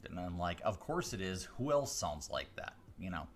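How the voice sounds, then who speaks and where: Low-voiced chatty man on a close mic. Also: big pitch swings. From Chicago area, US. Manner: rambling, with many fillers and restarts; deliberate pauses, slowing down for effect. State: voice deeper than usual today. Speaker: mentalist hosting a live stream. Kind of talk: casual chat answering viewer questions. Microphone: close studio microphone.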